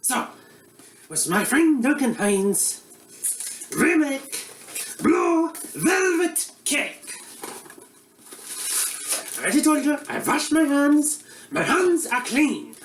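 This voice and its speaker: A woman playing a bumbling, shady male Eastern European TV cook who speaks slow, broken English and gets suspiciously defensive. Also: high voice, sing-song, far from the mic, a little hiss or hum, full character voice. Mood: deadpan. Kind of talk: comic cooking show parody. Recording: roomy, from the mic, faint ambience.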